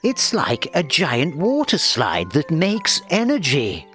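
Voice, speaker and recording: A man's voice: on the high side, huge pitch swings, slow pace, a bit bright, faint music behind, pitched above the performer's own voice, heavily acted. A man voicing a curious young male axolotl in a children's storybook, eager and full of wonder. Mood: amazed, curious. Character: young, curious, wide-eyed male axolotl, easily amazed.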